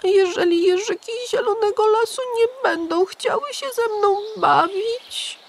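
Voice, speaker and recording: A woman's voice: normal speed, vocal fry. A woman doing a small anxious boy hedgehog. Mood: worried. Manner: high, pleading and worried.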